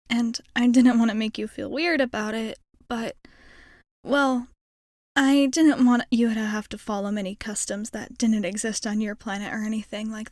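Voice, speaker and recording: A woman's voice: on the high side, darkish tone, no room sound, studio quality, natural voice. A woman playing a shy, nervously hopeful young alien space traveler in an ASMR roleplay, rambling hesitantly and putting herself down. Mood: flustered, welcoming. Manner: hesitant, rambling, self-effacing. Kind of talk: ASMR roleplay, intimate character monologue.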